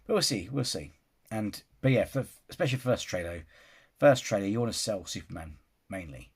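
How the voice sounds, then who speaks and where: Husky-voiced man rambling on. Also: on the low side, animated, a little fast. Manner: rambling, restarts sentences, often says "you know". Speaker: film reaction vlogger. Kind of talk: casual opinion vlog.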